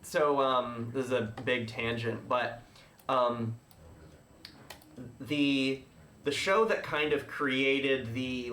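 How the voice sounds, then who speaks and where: A male podcast host chatting casually, explaining things in a rambling, halting way with frequent self-interruptions. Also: medium pitch, pushed and bright. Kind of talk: casual chat. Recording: roomy, echoey room.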